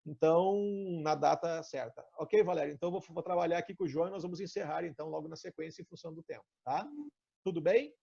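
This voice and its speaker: A man's voice: fairly high pitch, steady tone, fast talker, vocal fry, warm tone, slightly rough. A Brazilian man coaching in a conversational way on a live stream, explaining ideas and asking probing questions. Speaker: coach and trainer leading a live session. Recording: dull lo-fi online call audio.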